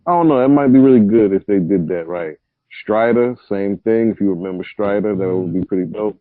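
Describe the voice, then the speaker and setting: Brief: lower-pitched guy, easygoing podcast co-host, dry tinny phone-like audio. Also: a little fast, no echo. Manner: loose, joking, lists ideas. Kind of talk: casual chat.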